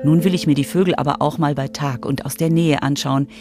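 A woman's voice: deep voice, fairly even intonation, a little fast, over music. A woman reporter reading scripted narration for a children's radio feature.

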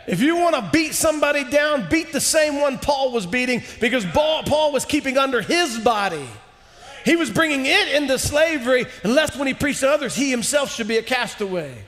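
A man in his forties delivers an impassioned sermon in a large church sanctuary, his voice strikingly high. He swings from shouted peaks to low, hushed lines. The headset mic catches a long reverb trailing through the hall. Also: expressive, brisk. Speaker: preacher.

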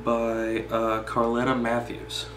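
Slow, even-toned young man in echoey room. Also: mid-range voice, soft background music. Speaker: poetry reader. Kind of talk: poem reading, then informal personal commentary. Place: small indoor meeting room, seated at table. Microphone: camera mic a few feet away. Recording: roomy echo with faint background hum.

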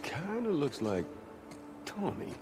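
An actor playing a dry, laconic middle-aged Texan father figure, gruff but gentle, in a naturalistic video game style. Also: fairly high pitch, big pitch swings, slow, music in the background. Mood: wry amusement.

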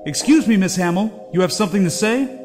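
A man's voice: sits high, lively intonation, brisk. A man playing a pompous, irritable judge who scolds in a comic-book audio drama. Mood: irritated. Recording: music behind the voice.

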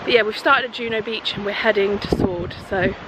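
Woman, thirties, British accent, medium pitch, casual vlog chat, handheld camera outdoors, loud wind and traffic. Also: fairly even intonation, fairly quick. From United Kingdom. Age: 30s. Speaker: travel vlogger. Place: outdoors on seafront paths and roadsides. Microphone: handheld camera at arm's length.

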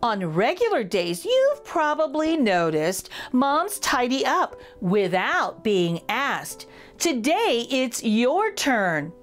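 A woman reads a picture book aloud for children in an expressive, playful way, addressing the young listeners directly. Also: on the high side, slow, faint music behind.

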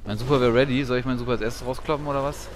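A man's voice: mid-range voice, fairly even intonation, racing. A man giving casual, slangy live commentary while gaming. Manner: colloquial, slangy. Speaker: gaming streamer. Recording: clear background game noise.

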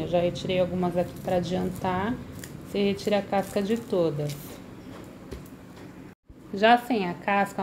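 Woman talking thru a cake recipe at home, mid pitch, faint kitchen hum behind. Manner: friendly, uses many diminutives. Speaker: cooking channel host. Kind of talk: step-by-step recipe narration.

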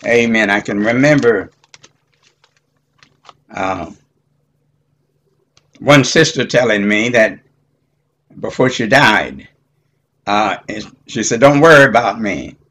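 An elderly man teaching Bible study in a halting, deliberate way, punctuated with amens. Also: on the low side, bright, forceful tone. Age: 70s or older. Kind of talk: informal Bible teaching with scripture reading.

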